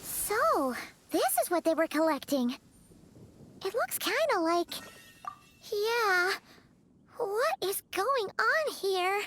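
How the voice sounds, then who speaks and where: A woman voicing a small, naive, excitable fairy companion in a video game, squeaky and childlike, over background music. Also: takes it slowly, pauses often, exaggerated voice. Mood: curious, surprised. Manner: exclaiming, hesitant questioning, refers to herself by name. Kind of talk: video game character voice acting.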